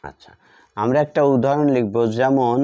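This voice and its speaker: A man teaching English grammar, repeating words slowly as he writes them out. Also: medium pitch, mellow. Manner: slow, repeats words while writing them out. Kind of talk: slow classroom explanation while writing. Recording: dull lo-fi audio.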